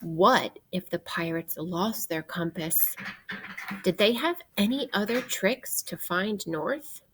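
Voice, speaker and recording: A woman teacher reading a children's book aloud in an expressive way, guiding young listeners with questions and pauses. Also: on the low side, slight background noise. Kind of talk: interactive read-aloud of a children's nonfiction book.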